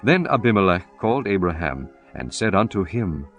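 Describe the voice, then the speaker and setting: A man's voice: deep voice, big pitch swings, moderate pace, lo-fi sound, soft background music. A man narrating a scripture audiobook in a solemn, formal manner, reading archaic text as a scripted performance.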